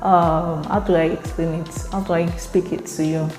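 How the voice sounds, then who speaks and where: A woman's voice: deep voice, level tone, moderate pace, a little mellow. Chatty Nigerian woman in an echoey room. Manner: chatty, laughs often, repeats words while thinking. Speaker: vlogger. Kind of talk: casual vlog to camera. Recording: echoey room, faint music.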